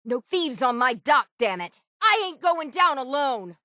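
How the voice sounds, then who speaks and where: A woman voicing a gruff, stubborn, hard-bitten shipwright in a video game, with salty, plainspoken seafaring talk. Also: high-pitched, sing-song, a little slow, pushed and bright, dry recording, clean studio sound, full character voice. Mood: angry, fighting. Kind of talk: video game character dialogue.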